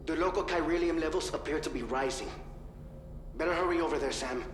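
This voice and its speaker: A man acts out an urgent, helpful male dispatcher in a game cutscene. His voice is fairly high and brisk, ringing with echo over clear background music. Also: close to an everyday voice. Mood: worried, urgent. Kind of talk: video game cutscene dialogue. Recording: echoey hall reverb, music behind.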